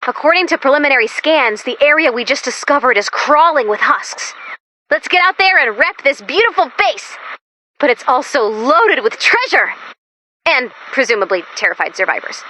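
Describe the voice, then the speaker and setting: A woman voicing an excitable, anxious, sarcastic female robot helper in a video game, perky and dramatic in manner. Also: high-pitched, normal speed, a little hiss or hum, full character voice, poor audio. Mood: upbeat, urgent. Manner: perky, neurotic, self-deprecating, dramatic. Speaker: video game mission-giving sidekick. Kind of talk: animated video game voice acting.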